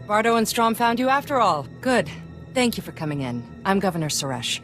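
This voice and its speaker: An actress playing a resolute planetary governor in a video game, composed, determined and commanding. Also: female voice, medium pitch, sing-song, a little fast, soft background music, natural voice.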